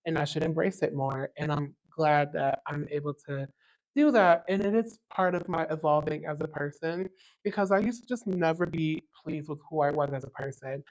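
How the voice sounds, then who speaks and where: A young man with a very high tenor voice, hosting a casual podcast chat in an eager, enthusiastic way. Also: rough recording. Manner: eager, enthusiastic, quick to agree. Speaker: podcast host.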